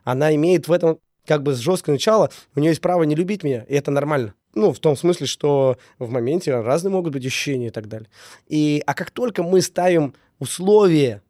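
Guy with a cold, talks fast n earnest, lots of fillers, warm mellow tone, kinda high. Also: animated, no room sound, studio quality. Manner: earnest, repeats key words, many fillers. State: recovering from a cold. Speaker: business owner, guest. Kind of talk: casual interview, reflective.

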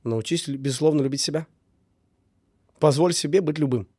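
A man's voice: fairly high pitch, a little fast, a little mellow, breathy edge, no room sound, clean studio sound. A man getting over a cold, talking earnestly in a casual interview and repeating key words with many fillers. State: recovering from a cold. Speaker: business owner, guest.

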